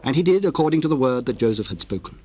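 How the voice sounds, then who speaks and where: A man's voice: mid-range voice, very animated, warm tone, slightly rough. Formal male scripture narrator. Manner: formal, measured storytelling. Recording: noisy old cassette tape.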